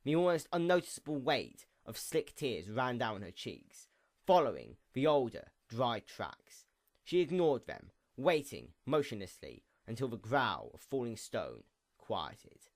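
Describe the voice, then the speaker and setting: A man narrates dramatic fiction in a solemn, expressive way. His medium-pitched voice moves widely up and down, giving the prose a highly animated contour. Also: a little slow. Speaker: audiobook-style fan fiction narrator. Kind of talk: dramatic scripted story narration.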